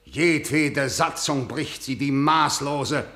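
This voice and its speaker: A man's voice: medium pitch, slow pace, pushed and bright, echoey room. An actor playing a harsh, tyrannical older king in a radio play, declaiming with contempt. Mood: scornful. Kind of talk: classic stage radio-play acting.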